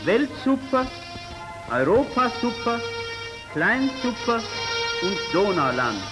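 A man's voice: high-pitched, big pitch swings, slow, loud music behind the voice. A man announcing a product in the style of a 1930s radio advertisement, on an old recording.

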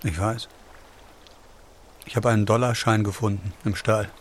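A male actor as an introverted, gravely ill man in an audio drama. His voice is fairly low and gentle, and he keeps his phrases short and understated. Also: steady tone, normal speed, a little hiss or hum, close to an everyday voice. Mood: tender.

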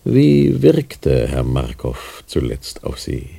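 An actor playing an evasive, placating chief doctor at a clinic in a radio play, hesitant and guarded. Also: male voice, extremely low pitch, huge pitch swings, slow, vocal fry, warm tone, husky, heavily acted. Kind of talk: 1990s radio drama acting.

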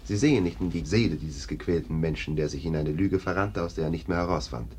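Man as a police lieutenant, deep voice, brusque, sceptical, clipped, radio drama, faint noise. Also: fast, a little mellow, natural voice. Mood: insistent, scornful. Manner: clipped, authoritative. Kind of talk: German radio play acting. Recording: noisy archive radio play recording.

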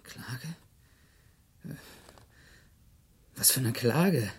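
An actor playing a wary, stubborn suspect in a German radio play, speaking in curt, hesitant phrases with a husky voice. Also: male voice, mid-range voice, expressive, extremely slow, exaggerated voice. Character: wary, bewildered male suspect, plain-spoken and stubborn.

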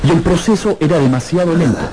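An actor narrating a literary tale in a measured, expressive, dramatic style for a radio drama. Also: male voice, on the high side, noisy background, lightly acted.

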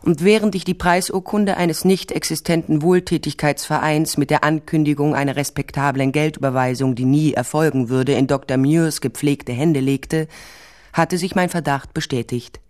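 A woman's voice: sits low, fairly even intonation, normal speed, darkish tone, close to an everyday voice. An actress playing a blunt private investigator who narrates her case with dry sarcasm in a crime radio drama. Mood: dry, sardonic. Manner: dry, sardonic.

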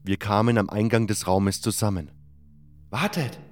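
Male narrator, fantasy audio drama. Lower register, scripted first-person storytelling, music underneath. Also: normal speed. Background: background music.

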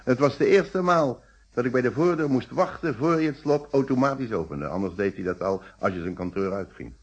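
A man's voice: medium pitch, animated, a little fast, husky, muffled recording. An actor playing a hesitant, polite middle-aged married man answering questions as a witness in a Dutch radio play. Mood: polite, careful.

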